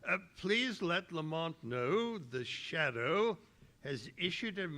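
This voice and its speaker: Guy doing earnest old-time radio police commissioner, slow and halting, sharp bright voice, authoritative. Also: a little high, very animated, exaggerated voice. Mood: grave, worried. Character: worried, authoritative male city police commissioner. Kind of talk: 1930s radio drama acting.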